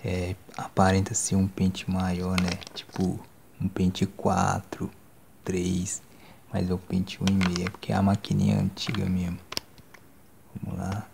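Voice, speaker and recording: Brazilian male, 20s, hushed ASMR barber, low-pitched with heavy vocal fry, casual delivery. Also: completely flat delivery, measured pace, background noise. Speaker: ASMR creator playing a barber. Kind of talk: ASMR roleplay, soft-spoken and whispered. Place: small decorated indoor room. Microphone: handheld phone microphone up close.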